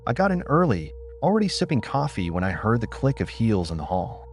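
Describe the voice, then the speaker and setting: A man's voice: medium pitch, very animated, fairly quick, slight background noise. A man narrating a scripted first-person story in a dramatized audiobook manner.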